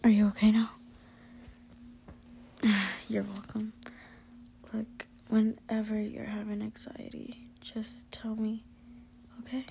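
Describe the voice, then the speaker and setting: Woman playing a tender young boyfriend for a listener roleplay, hushed and reassuring, slow delivery, faint hiss behind. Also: on the high side, monotone, vocal fry. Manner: soft hushed whisper, reassuring. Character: gentle caring young male boyfriend.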